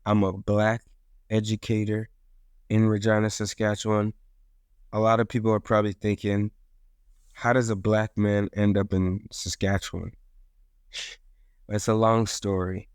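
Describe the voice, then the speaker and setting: Low flat-ish guy voice, smooth, earnest podcast host talking slow and steady, dry sound. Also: dry recording. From South Africa. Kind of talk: reflective podcast monologue.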